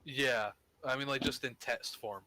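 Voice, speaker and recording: A man chatting casually with friends on a game stream, easygoing and quick to laugh. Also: mid-range voice, steady tone, pushed and bright, a little hiss or hum, low-quality recording. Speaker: gamer on a group stream. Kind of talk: casual chat.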